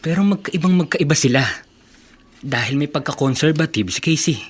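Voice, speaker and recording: A man's voice: on the high side, expressive, brisk, husky. An actor playing a polite, unsure young man in a radio drama. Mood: thoughtful. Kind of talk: Tagalog radio drama acting.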